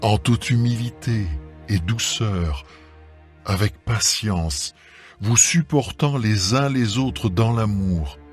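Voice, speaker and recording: Man, lowish pitch, very slow solemn Bible reading, deliberate phrasing, music underneath. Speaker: scripture reader. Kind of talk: solemn scripted Bible reading, dramatised audio. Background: background music.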